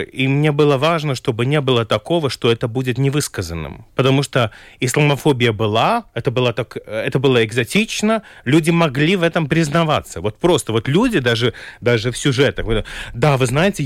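A man's voice: mid-range voice, no echo, clean studio sound. Man speaking Russian. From Latvia. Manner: halting, thoughtful, with chuckles and restarts. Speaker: orientalist guest. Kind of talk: radio talk show discussion.

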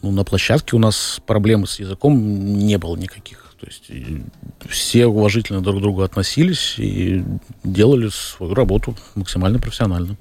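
A man from Latvia, a film director, answering interview questions thoughtfully, with frequent pauses and fillers. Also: fairly low pitch, gravelly, a little mellow, slightly rough. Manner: thoughtful, hesitant, many fillers and restarts. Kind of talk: radio interview.

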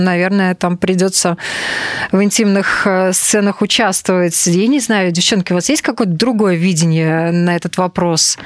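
A woman's voice: fairly low pitch, dry recording. A woman hosting a panel discussion in a conversational interviewing style. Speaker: programme host.

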